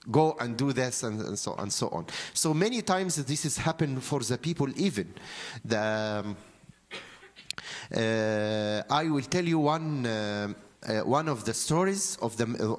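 Halting Egyptian-accented male priest. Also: medium pitch. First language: Arabic. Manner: halting, hesitant phrasing with frequent pauses. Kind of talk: sermon, storytelling from notes. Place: church interior, seated at a lectern. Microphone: handheld microphone held close.

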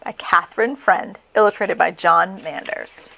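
A woman reading a children's story aloud with expressive, dramatic, deliberate phrasing. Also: mid-range voice, vocal fry, noisy background. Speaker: storybook reader.

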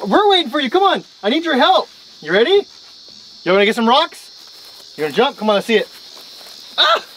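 High, lively woman cheering kids outdoors. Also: fairly quick, a little hiss or hum, poor audio. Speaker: mother and family vlogger. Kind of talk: playful family vlog narration. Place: outdoors on a farm, barn and lakeside.

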